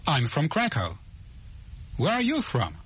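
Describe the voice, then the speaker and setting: A man playing a polite traveler from Poland in a scripted language-course dialogue, speaking slowly and deliberately. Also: on the high side, huge pitch swings, fairly bright tone, husky, heavily acted. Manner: slow, deliberate, carefully spelled speech.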